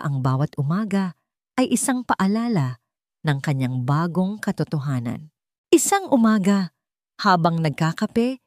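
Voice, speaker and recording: A Filipino woman with a fairly low voice narrates a scripted drama. Her delivery is measured and theatrical, like an audiobook of serial fiction. The recording is dry and close. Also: darkish tone, no echo.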